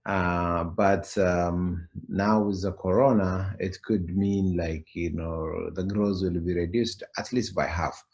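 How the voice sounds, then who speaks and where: A man with an Ethiopian accent answering interview questions in a halting, explanatory way. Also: low-pitched, steady tone, darkish tone, lo-fi sound. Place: remote call.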